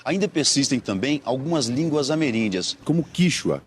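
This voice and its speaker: A man with a Brazilian Portuguese accent giving scripted documentary narration in a measured way. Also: medium pitch, expressive, slight background noise.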